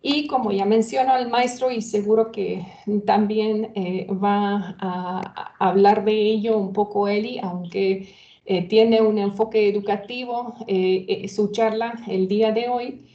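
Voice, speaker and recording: A woman's voice: mid-range voice, fairly even intonation, measured pace, mellow, reverberant, highs cut off. A woman whose first language is Hungarian, giving welcome remarks at a university event in Spanish with many hesitations and self-corrections.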